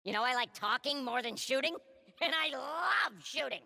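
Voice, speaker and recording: A woman's voice: sits high, lively intonation, normal speed, vocal fry, pushed and bright, heavily acted. A woman playing a cowardly, chatty little alien soldier in a video game, heard with long reverb. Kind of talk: video game character monologue.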